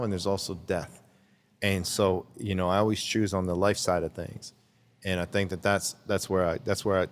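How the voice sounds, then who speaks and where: A man's voice: low-pitched, big-room sound. A man talking as a guest in a casual interview, rambling and repeating words as he searches for his thoughts. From Canada.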